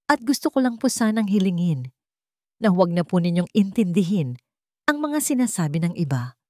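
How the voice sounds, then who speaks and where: Woman acting calm, composed young husband. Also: lowish voice, normal speed, no echo, clean studio sound. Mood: calm, respectful. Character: cool, businesslike young husband turning calm and protective.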